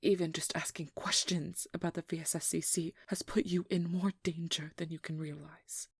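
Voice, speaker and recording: A woman playing a guarded, protective young vampire hunter in a hushed, breathy ASMR roleplay, speaking slowly with halting pauses close to the mic. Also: on the low side, steady tone, no echo. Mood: firm, concerned. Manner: slow, halting, intimate delivery with pauses. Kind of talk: whispered ASMR roleplay, dramatic monologue. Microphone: close microphone, dry.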